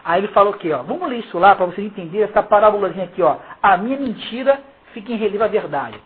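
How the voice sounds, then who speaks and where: Casting: husky-voiced Carioca preacher, emphatic informal Bible teaching with mocking slang, thin phone-like recording. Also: mid-range voice, expressive, very fast. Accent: Carioca Brazilian Portuguese. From Rio de Janeiro, Brazil. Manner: emphatic, slangy, mocking, with rhetorical questions to listeners. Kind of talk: informal Bible exposition, reading verses and commenting. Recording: muffled, phone-like lo-fi sound.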